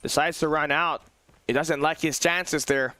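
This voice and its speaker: A man doing live play-by-play on a competitive mobile shooter match, with a fairly high pitch and a smooth, clear tone. His voice sounds worn and hoarse from tiredness, yet he keeps pushing the energy, often climbing into excited shouts. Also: unhurried. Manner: excitable, rising to shouts at big plays. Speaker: esports caster. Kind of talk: live esports play-by-play commentary. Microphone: phone on a low battery.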